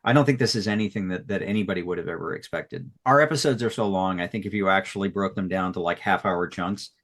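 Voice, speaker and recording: A man's voice: low voice, fast, fairly bright tone. A man speaking earnestly and sentimentally as a podcast creator in an unscripted retrospective, often repeating phrases for emphasis. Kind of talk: heartfelt unscripted retrospective talk.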